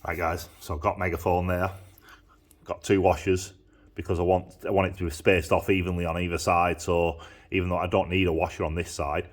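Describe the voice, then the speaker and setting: A man's voice: low voice, little pitch movement, a little fast. This is a man. He talks informally, as a mechanic showing practical work on a handheld camera would. He explains each step plainly and often repeats himself. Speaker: motorcycle exhaust maker and mechanic. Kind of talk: casual hands-on workshop demonstration. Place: motorcycle workshop. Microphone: handheld camera held by the speaker.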